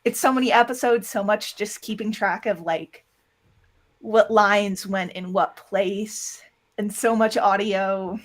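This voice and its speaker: A woman's voice: mid-range voice, steady tone, measured pace. A woman chatting casually as an interview guest about creative production work, candid and informal, with "like" sprinkled through. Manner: candid, informal, heavy use of "like". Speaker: interview guest, audio drama producer and voice actor.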